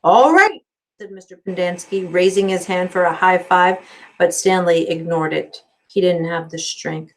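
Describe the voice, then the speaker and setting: A woman, a teacher, reading a children's novel aloud in an expressive storytelling style. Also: fairly low pitch, normal speed, poor audio.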